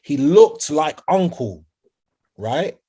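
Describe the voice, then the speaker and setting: A man with a nasal voice co-hosting a music podcast in casual, animated chat. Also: a little high, slow, breathy edge. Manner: animated, repeats "do you get me". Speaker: podcast co-host.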